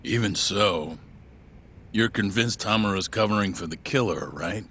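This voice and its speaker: A middle-aged male boss in a game dub, wry and matter-of-fact. The voice is deep and full of gravel, measured in delivery, over faint background noise. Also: bright, forceful tone. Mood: stern, measured. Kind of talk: English video game dub acting.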